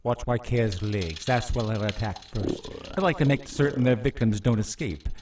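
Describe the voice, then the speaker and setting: A man's voice: medium pitch, normal speed, distant mic, background noise, close to an everyday voice. An actor playing a cruel usurper lord in a radio drama, mocking his captives with theatrical, taunting mock courtesy. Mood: menacing relish.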